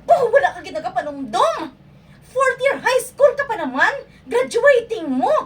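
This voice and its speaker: A woman's voice: very high-pitched, sing-song, moderate pace. A woman playing a stern, upset mother questioning her child in an amateur radio drama. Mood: upset, scolding. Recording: distant mic with faint background noise.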